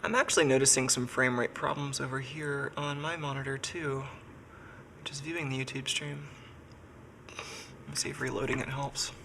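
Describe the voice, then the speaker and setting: A man's voice: mid-range voice, fairly even intonation, normal speed, vocal fry, pauses often, background noise. A man who is sick and congested, chatting casually on a live stream from his workshop and thinking aloud with lots of fillers. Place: home workshop.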